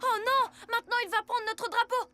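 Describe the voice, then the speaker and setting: High-voiced, sensible little boy hero. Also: steady tone, moderate pace, background noise. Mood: doubtful, urgent. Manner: questioning, practical. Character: alert, sceptical little boy superhero in cat costume. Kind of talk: French animated cartoon dubbing. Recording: background music over the voice.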